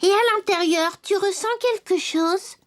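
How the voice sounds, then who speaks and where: A woman's voice: extremely high pitch, steady tone, measured pace, over music. A woman voicing a kind, encouraging young boy in a French cartoon dub. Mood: caring. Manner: simple, encouraging, gently questioning. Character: cheerful, caring young boy helping his robot friend.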